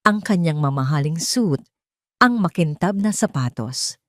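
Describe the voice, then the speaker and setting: A woman's voice: low-pitched, lively intonation, measured pace, dry recording, studio quality. A woman with a Filipino accent reading a scripted dramatic story as its narrator. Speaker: narrator of audio drama stories.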